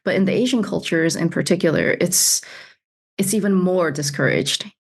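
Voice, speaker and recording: A woman's voice: on the low side, moderate pace, gravelly, slightly rough. A woman from Alabama working as an executive coach, answering interview questions in a candid, chatty way with frequent laughs. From United States (Alabama). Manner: candid, laughs often, repeats words.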